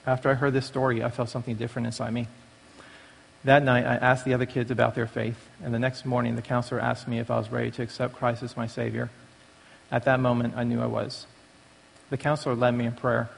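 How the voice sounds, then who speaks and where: A man's voice: mid-range voice, level tone, fast, vocal fry, slight background noise. Man speaking in a reverberant hall. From born in Taiwan, raised in the United States from age five. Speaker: speaker giving a testimony at a church recovery meeting. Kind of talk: prepared personal testimony. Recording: long reverberant hall echo.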